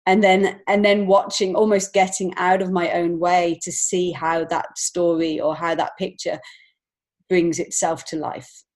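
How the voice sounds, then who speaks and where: Low-pitched woman, candid and chatty. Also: unhurried. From lives in Montreal, Canada. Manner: giggly, candid, expressive. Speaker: guest, children's author and illustrator. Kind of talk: casual interview chat.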